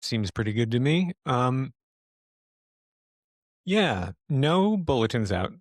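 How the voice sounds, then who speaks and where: Male game master, mid-pitched, casual and sweary, thinking aloud in a dry recording. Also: big pitch swings, dry recording. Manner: halting, profane. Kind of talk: improvised tabletop game narration and casual chat.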